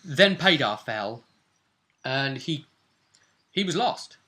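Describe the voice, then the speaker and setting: A man's voice: medium pitch, sing-song, takes it slowly, pushed and bright. A man with a British accent talking casually as a game master, looking back over a role-playing session. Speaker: game master and vidcast host.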